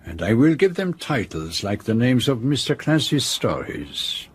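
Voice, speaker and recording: A foreign gentleman detective in a radio drama. He is courteous and self-assured, with formal, precise, measured phrasing and a shrewd, probing manner. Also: medium pitch, very animated, slight background noise. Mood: wry, deliberate. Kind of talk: radio drama acting and first-person narration.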